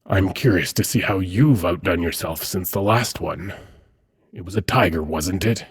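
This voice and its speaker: A suave demon prince, acted by a man, speaks in a very low register with a heavily husky, breathy voice. He is haughty and courtly, choosing his words with measured condescension. The delivery is that of a seductive fantasy audio roleplay. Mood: courtly, amused. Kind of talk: dramatic ASMR roleplay monologue.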